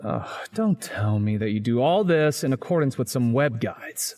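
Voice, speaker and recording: A man's voice: medium pitch, lively intonation, moderate pace, a little mellow, soft background music, close to an everyday voice. A man playing a wry, grumbling schoolboy who narrates his haunted life in a visual novel game, dry and sardonic. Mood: annoyed.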